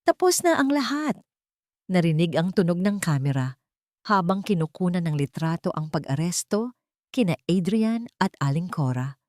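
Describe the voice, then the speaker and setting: A woman's voice: fairly low pitch, animated, normal speed, a little mellow, dry recording. Dry, close-sounding woman narrator. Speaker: storyteller. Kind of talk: dramatic scripted narration of a love story.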